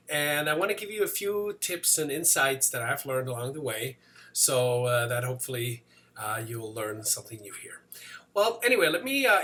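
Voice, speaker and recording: Upbeat Swiss man, bright forceful voice. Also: on the high side. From Switzerland. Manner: casual, rambling with many fillers and restarts. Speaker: business coach. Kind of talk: motivational talk to camera.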